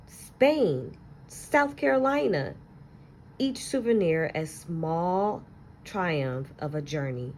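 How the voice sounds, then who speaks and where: A woman teacher reading a children's picture book aloud with gentle, expressive storytelling and soft asides to young listeners. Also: on the low side, a little hiss or hum.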